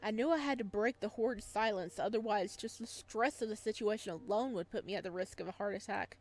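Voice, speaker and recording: A woman reading a visual novel aloud as a let's player, expressive and now and then stumbling over the words. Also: medium pitch, a little fast. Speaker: video game let's player.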